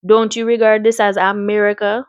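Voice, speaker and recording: A woman voicing an old male village doctor with a Caribbean accent, unhurried and wryly questioning in a storybook reading. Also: mid-range voice, flat delivery, clean tone, no room sound, lightly acted.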